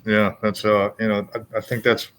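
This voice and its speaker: A man's voice: fairly low pitch, flat delivery, normal speed, fairly bright tone, slight background noise. A middle-aged man hosting a podcast, telling long stories full of "you know" and restarts. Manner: rambling, filler-heavy storytelling. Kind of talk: casual chat, storytelling.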